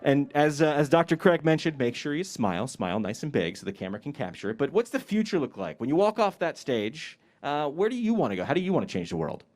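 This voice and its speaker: Man, outdoor graduation host, animated swooping voice, upbeat, jokey, handheld mic. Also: a little high, fast talker, few pauses. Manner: energetic, joking, repeats questions. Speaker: pre-ceremony host interviewing graduates. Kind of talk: upbeat live-stream interviews.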